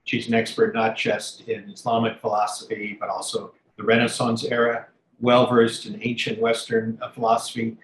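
A man introducing a guest, self-deprecating and halting with frequent uhs. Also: mid-range voice, completely flat delivery. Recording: roomy with faint background noise.

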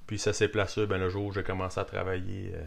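Québécois guy in his 20s, lowish voice, kinda monotone, just chatting easy about his life. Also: quick pace, creaky. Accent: Québécois French. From Quebec. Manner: relaxed storytelling, plain-spoken. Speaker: guest, rodeo athlete. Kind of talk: casual interview chat.

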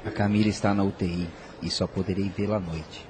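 A man's voice: deep voice, steady tone, fairly quick, background noise, close to an everyday voice. A man with a Brazilian accent playing a guilt-ridden, tender and troubled man in a radio soap. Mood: concerned. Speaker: radio drama actor.

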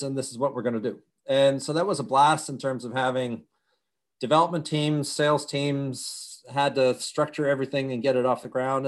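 A middle-aged man raised in Europe, a company founder talking energetically in long run-on explanations during an interview. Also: mid-range voice. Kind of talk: conversational interview.

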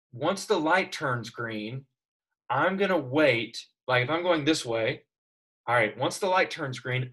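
A man's voice: medium pitch, rough recording. A man teaching a classroom Bible lesson, asking his students questions as he explains. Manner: animated, asks students questions.

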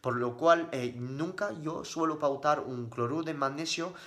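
A man's voice: a little high, measured pace, a bit bright. A man answering questions casually on a livestream as a fitness coach, speaking bluntly and emphatically, with slang and repeated words for stress.

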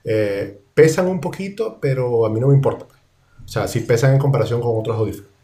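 A man's voice: mid-range voice, animated, brisk, warm tone. A man with a Latin American Spanish accent, telling things casually in conversation. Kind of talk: casual anecdote and chat. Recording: distant from the mic.

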